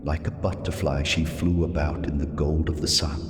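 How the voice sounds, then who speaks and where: Actor as a tender, lyrical father in audio drama, bottomless low voice, cavernous reverb over loud music. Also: flat delivery, moderate pace. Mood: gentle, lyrical. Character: Christine's father, gentle storyteller reading a fairy tale. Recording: echoey hall reverb, loud music behind.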